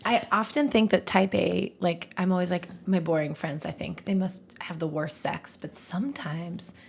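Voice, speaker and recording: A woman co-hosting a podcast in casual comedic chat, joking crudely and swearing freely in an animated, husky voice. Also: lowish voice, normal speed, slight background noise. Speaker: podcast co-host.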